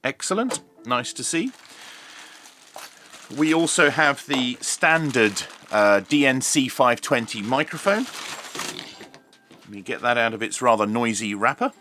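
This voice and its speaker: A man's voice: mid-range voice, animated, unhurried, long pauses, sharp tone, a little hiss or hum. A British man presenting an unboxing review as host, with a jokey, tongue-in-cheek manner. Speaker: host of a radio review channel.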